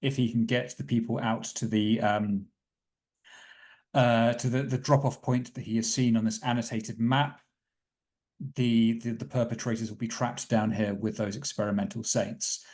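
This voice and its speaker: A man's voice: medium pitch, level tone, normal speed, a little mellow. A man improvising story narration as a player in a tabletop roleplaying game, wordy and thoughtful with a dryly theatrical turn.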